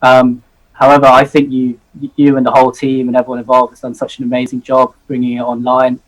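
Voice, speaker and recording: A man's voice: medium pitch, monotone, fairly quick, poor audio. A young man in his twenties from Hong Kong, a zoology student, joining a live interview by video call, earnest and eager with many ums. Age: 20s.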